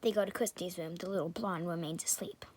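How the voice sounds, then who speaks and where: A woman's voice: on the low side, fairly quick. A woman reading scripted story narration for a puppet show, in a slightly noisy home recording.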